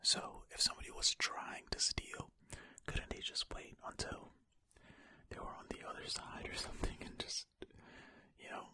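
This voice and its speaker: An ASMR host whispering slowly and breathily, reading aloud and adding informal asides, close to the microphone. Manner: slow, hushed, informal commentary. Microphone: close-up ear-to-ear ASMR microphone.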